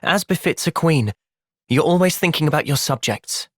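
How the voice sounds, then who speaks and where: An earnest young soldier hero from a video game, with an English accent and a close, dry sound. He is gentle and thoughtful, speaking with measured, soft seriousness. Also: a little high, lively intonation, no echo. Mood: calm, resolute. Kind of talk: video game cutscene voice acting.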